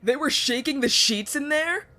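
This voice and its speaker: A naive nineteen-year-old prince acted by a man talks in a youthful, earnest and easily indignant way. Music plays clearly under this fantasy audio drama performance. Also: extremely high pitch, moderate pace, pushed and bright. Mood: indignant, sheepish.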